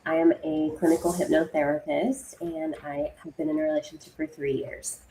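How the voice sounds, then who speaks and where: A woman's voice: deep voice, flat delivery, normal speed, dark tone, a little hiss or hum, low-quality recording. A woman in her thirties from Southern California, introducing herself in a composed, matter-of-fact way on a video call. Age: 30s. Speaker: panel guest.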